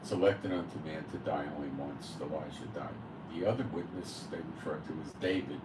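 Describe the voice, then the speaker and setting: A deep-voiced man teaches Bible prophecy in a blunt, informal way, and he lands hard on the verses he reads. He sounds set back from the microphone in a roomy space. A loud, steady background hum runs under his voice. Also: brisk, far from the mic. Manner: blunt, reads verses aloud emphatically then explains. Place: home in Israel.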